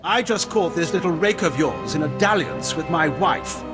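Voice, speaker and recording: A man acts a haughty, indignant nobleman from a sci-fi video game. His high, lively voice sneers and makes demands. Music sounds clearly behind him. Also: normal speed, natural voice. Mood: outraged, demanding. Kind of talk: dramatic game cutscene dialogue.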